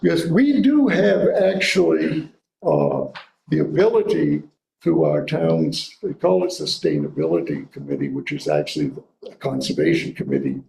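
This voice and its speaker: A man's voice: a little high, huge pitch swings, moderate pace, gravelly, darkish tone. A man giving a local history talk and answering audience questions, thoughtful and hedging as he speaks. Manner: thoughtful, hedging, restarts phrases. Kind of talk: lecture and audience Q&A. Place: library meeting room. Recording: echoey room, faint hiss.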